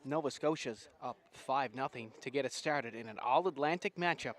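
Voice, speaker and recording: A man's voice: mid-range voice, normal speed, a bit bright. A man giving conversational live sports commentary as the colour commentator.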